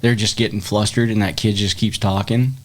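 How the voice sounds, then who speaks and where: Lower-voiced man making a point. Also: little pitch movement, brisk. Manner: repeats himself while building a point. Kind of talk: casual chat.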